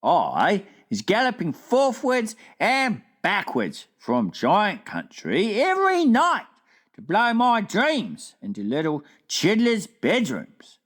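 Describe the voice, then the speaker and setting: A woman voicing a big, gentle, friendly male giant who talks in drawn-out, folksy, mangled grammar. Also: mid-range voice, huge pitch swings, pitched above the performer's own voice, heavily acted. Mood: earnest, reassuring.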